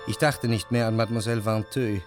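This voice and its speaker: An actor playing a jealous, brooding narrator who speaks in measured, reflective long sentences in a literary radio drama. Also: male voice, on the low side, fairly even intonation, over loud music, lightly acted. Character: jealous, brooding male narrator, introspective and anxious.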